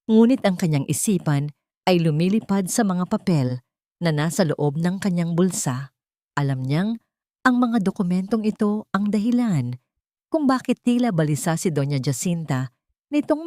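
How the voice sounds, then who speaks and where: A woman's voice: fairly low pitch, sing-song, moderate pace, mellow, dry recording, clean studio sound. A woman narrating a scripted audiobook drama in a dramatic storytelling style.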